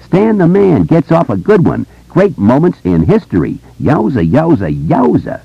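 Man doing wisecracking show-off boy, raised pitch, animated swoops, brash, theatrical, audiobook, slightly muffled. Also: normal speed, creaky, husky, no echo, heavily acted. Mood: showy clowning. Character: hyperactive, loud-mouthed wisecracking boy show-off.

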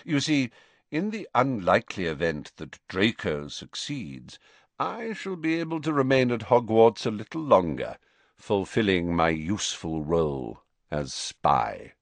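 A man voicing a cold, guarded professor who speaks flatly and slowly in an audiobook reading. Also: on the low side, gravelly, dry recording, exaggerated voice. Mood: measured. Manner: flat, slow, measured, icy.

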